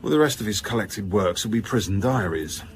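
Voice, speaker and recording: A man's voice: fairly low pitch, lively intonation, brisk, slight background noise, lightly acted. A man playing a wily, jocular senior police commissioner in a naturalistic radio drama, blustery and insinuating. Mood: confiding, briefing.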